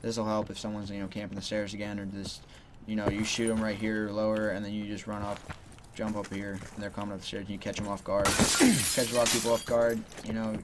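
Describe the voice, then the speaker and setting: A man's voice: fairly low pitch, very noisy. A man giving rambling, casual gameplay tips, talking loosely with lots of "you know" and "like". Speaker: gaming channel host.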